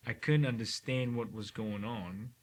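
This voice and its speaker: A middle-aged man from Cameroon, a neurosurgeon talking reflectively in an interview, often ending points with a quick "right?". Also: fairly low pitch. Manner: reflective, frequent "right?" tag questions. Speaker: neurosurgeon guest. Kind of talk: relaxed interview conversation. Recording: faint hiss.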